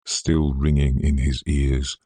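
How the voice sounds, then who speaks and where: A man reading a Victorian ghost story as an audiobook, in a measured, scholarly and atmospheric dramatic manner. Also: extremely low pitch, little pitch movement, warm tone, dry recording. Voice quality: gravelly, husky. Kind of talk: scripted dramatic audiobook narration.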